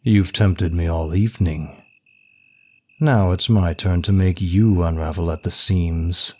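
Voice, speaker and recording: A very low-voiced, suave demon of lust, acted by a man for an ASMR roleplay. He teases smugly and draws out his words at a slow, deliberate pace, every line seductive. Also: fairly even intonation, warm tone. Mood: seductive, teasing.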